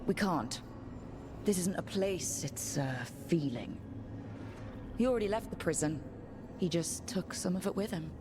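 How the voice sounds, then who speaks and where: A woman playing a steady, caring adventurer in a video game, speaking in an earnest, frank and reasoning way. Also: fairly low pitch, a little slow, pauses often, noisy background. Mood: firm, reassuring. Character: steady, caring, frank female adventurer talking a companion through pain. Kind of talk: dramatic video game voice acting.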